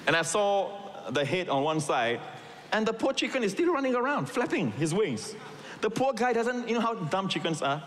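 A man's voice: sits high, very animated, normal speed, a bit bright. A middle-aged man from Singapore, raised in Malaysia, preaching a sermon with emphatic asides and calls to the congregation. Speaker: pastor. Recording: long reverb in a large hall.

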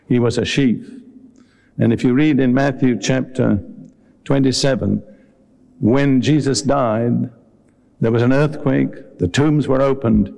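A man preaching a sermon to a congregation, speaking earnestly and deliberately and addressing his listeners directly. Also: medium pitch, creaky, mellow. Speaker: visiting preacher. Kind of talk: sermon with Bible teaching and altar call. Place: live before a congregation at a meeting.

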